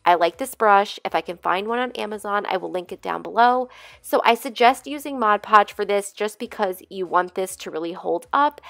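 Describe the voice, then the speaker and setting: Woman, nasal, tail end of a cold; chatty rambling tutorial host, medium pitch, dry voice-over. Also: fairly bright tone, no room sound. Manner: chatty, rambling, friendly asides. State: recovering from a cold. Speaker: DIY craft channel host. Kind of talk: casual crafting tutorial voice-over.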